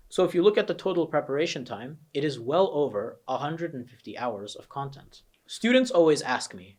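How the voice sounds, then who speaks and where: Young american guy, test prep coach, pushy persuasive pitch, mid pitch voice. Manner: earnest, persuasive, emphatic. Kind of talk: promotional course walkthrough.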